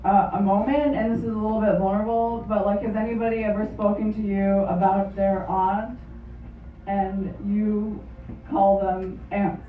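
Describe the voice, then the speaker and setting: A woman whose first language is Japanese, doing a stand-up comedy set on a club stage with wry, deadpan storytelling, caught on a phone voice memo from the audience. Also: medium pitch, moderate pace. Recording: lo-fi phone recording from far off in an echoey club. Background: audience laughter.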